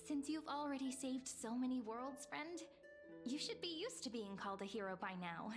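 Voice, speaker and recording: A high, girlish voice for a gentle young companion in a video game. The delivery is earnest and encouraging, with music playing clearly behind it. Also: animated, moderate pace. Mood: tender, hopeful.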